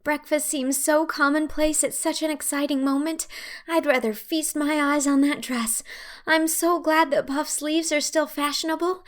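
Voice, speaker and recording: A woman's voice: high-pitched, steady tone, normal speed, pitched above the performer's own voice, natural voice. A woman voicing a chatty, dreamy orphan girl who gushes effusively. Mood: rapturous. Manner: gushing, effusive.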